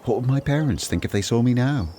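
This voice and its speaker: An actor playing a doubtful, weary disciple who was once a tax collector, speaking in an earnest, plaintive manner in a Bible radio drama. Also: male voice, medium pitch, animated, quick pace, dark tone, a little hiss or hum, lightly acted.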